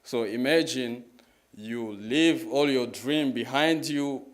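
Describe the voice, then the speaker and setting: A man in his twenties with a Congolese accent giving a personal testimony as a public talk, halting and repeating his phrases in plain words. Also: medium pitch. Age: 20s. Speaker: guest speaker at a church, sharing testimony.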